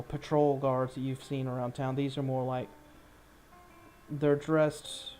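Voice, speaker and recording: A man narrating an improvised tabletop game as game master, halting as he searches for words. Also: medium pitch, a little mellow.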